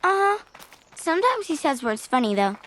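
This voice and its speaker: A very high, bright voice of a cheerful little girl, played by a performer in a motion comic. She chatters eagerly in simple phrases, with a lively swing in pitch. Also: normal speed, heavily acted.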